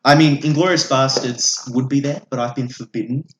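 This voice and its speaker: A man's voice: mid-range voice, steady tone, moderate pace, a bit bright. A man, an opinionated podcast host who swears freely while casually discussing films. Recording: echoey room, audible background noise.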